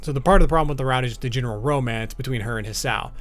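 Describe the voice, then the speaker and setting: A man's voice: mid-range voice, very fast. A man hosting an informal explainer, talking in a conversational, loosely scripted way. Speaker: video host. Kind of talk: informal explainer commentary on a visual novel. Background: background music.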